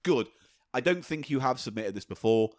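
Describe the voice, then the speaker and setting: A man's voice: medium pitch, animated. A man co-hosting a comedy podcast, reading a listener's letter aloud and riffing playfully with his co-host. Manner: playful, quick to riff and tease. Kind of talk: casual comedy chat.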